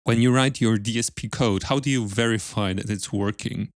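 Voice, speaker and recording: Lowish-voiced man interviewing, dry close sound. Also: moderate pace, no echo. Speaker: podcast interviewer. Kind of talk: casual technical interview.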